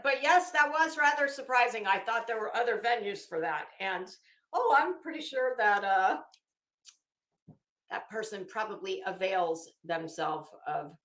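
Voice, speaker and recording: A woman hosts a casual live chat from a room with plenty of echo. Her talk is gossipy and informal, full of coy asides and chuckles as she addresses her viewers. Her pitch sits in an average range for a woman. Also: a bit bright. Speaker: livestream host. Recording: roomy, echoey room sound.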